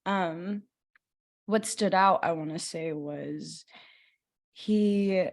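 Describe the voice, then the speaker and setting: A woman's voice: fairly low pitch, extremely slow, vocal fry. A woman in her twenties from Los Angeles, speaking as an interview guest in a loose, rambling way with many fillers and restarts. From Los Angeles, USA. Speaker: guest actor. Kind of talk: casual interview talk.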